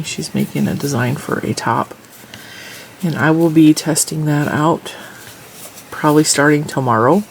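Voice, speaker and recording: A woman's voice: low voice, vocal fry, slight background noise, low-quality recording. A congested, stuffy-nosed woman hosting a casual crochet tutorial, chatting as she counts stitches and rows.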